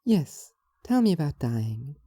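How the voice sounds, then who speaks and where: A woman playing a strange, earnest young philosopher in an audio drama, talking in a formal, measured, literal way. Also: low voice, big pitch swings, warm tone, no room sound, clean studio sound, full character voice. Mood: cool, literal. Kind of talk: audio drama monologue and dialogue.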